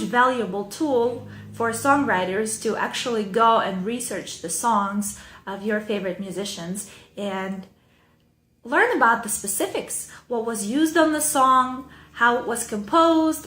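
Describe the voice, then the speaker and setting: A woman's voice: on the high side, a bit bright. Ukrainian-accented woman chatting to camera. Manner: chatty, loose, with frequent fillers and small laughs. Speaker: songwriting video host. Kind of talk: casual talk to camera with reading aloud. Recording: echoey room.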